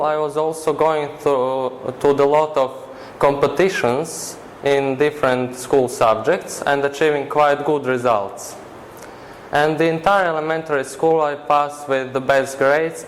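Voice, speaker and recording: A man's voice: fairly high pitch, a little hiss or hum, poor audio. Earnest Croatian-accented teenage boy. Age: teens. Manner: earnest, measured, halting non-native phrasing. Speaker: interview guest. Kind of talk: interview answers.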